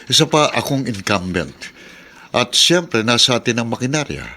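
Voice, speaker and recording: Scheming Filipino mayor, mid-pitched, swooping intonation, persuasive, confident, radio drama. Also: male voice, very animated, normal speed, bright, forceful tone. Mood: persuasive, assured. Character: confident, scheming male small-town mayor, persuading his wife.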